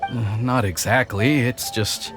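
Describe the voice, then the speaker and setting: A man's voice: mid-range voice, fairly even intonation, takes it slowly, over music. A man playing a dutiful, anxious young retainer in a video game, formal, earnest and a little hesitant. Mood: troubled.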